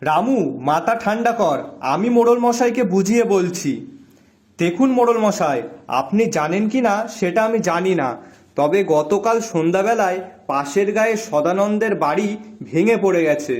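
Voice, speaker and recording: A man playing a level-headed, insistent villager in an animated folk tale. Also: high-pitched, normal speed. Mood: reasoning, insistent.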